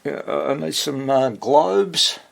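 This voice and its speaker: A man hosting a casual, unscripted radio repair walkthrough, thinking aloud hesitantly and muttering questions to himself, in a husky, gravelly voice. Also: on the high side, slow. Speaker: radio repairer and video host.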